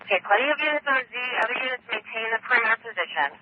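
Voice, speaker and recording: A woman's voice: on the high side, lively intonation, rapid-fire. A woman working as a police dispatcher, repeating unit calls over the radio in a clipped, procedural way. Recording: tinny, noisy police scanner audio.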